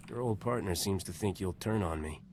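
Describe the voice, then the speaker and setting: Fast-talking, cocky smuggler captain. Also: male voice, low voice, a little mellow, slight background noise. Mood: wry, challenging. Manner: dry, sardonic. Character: cocky, wry gunslinger smuggler captain, a man. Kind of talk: video game voice acting.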